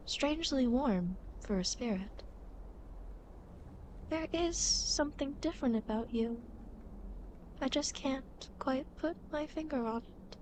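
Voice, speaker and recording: Casting: actress as smug, playful female demon guide for ASMR roleplay, high voice with lively swings, teasing and easily affronted. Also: slight background noise, low-quality recording. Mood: indignant, then curious. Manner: teasing, smug, mock-indignant.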